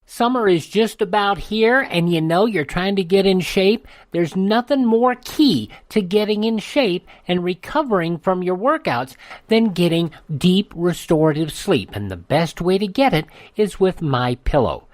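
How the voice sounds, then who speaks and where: A woman's voice: on the low side, expressive, unhurried, darkish tone, no room sound. A woman reading scripted radio links and advertising copy as a host. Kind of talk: scripted radio presenting and ad read.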